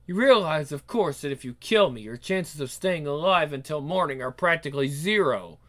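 A man's voice: fairly high pitch, expressive, moderate pace, fairly bright tone. A man voicing a captive female vampire, defiant and sardonic, who talks in an urgent, taunting way. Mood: coolly warning.

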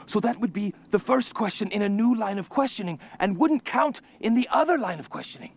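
A pedantic interrogator explains his rules in a husky voice. It sits high and moves up and down freely. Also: expressive, brisk.

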